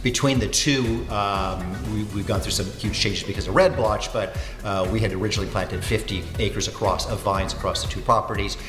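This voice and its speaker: Rambling low-voiced man in his sixties. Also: fairly even intonation, moderate pace, few pauses. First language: Finnish. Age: 60s. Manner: rambling, self-correcting, many fillers. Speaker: winery owner and winemaker. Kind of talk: casual interview answers. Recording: echoey room with long reverb and music.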